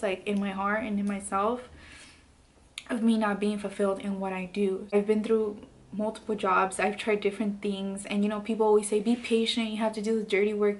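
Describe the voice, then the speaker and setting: A woman in her late twenties talking candidly to camera in her bedroom, soft and hesitant with many fillers. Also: mid-range voice. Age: late 20s. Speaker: beauty vlogger. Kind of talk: candid personal vlog. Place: bedroom, indoors.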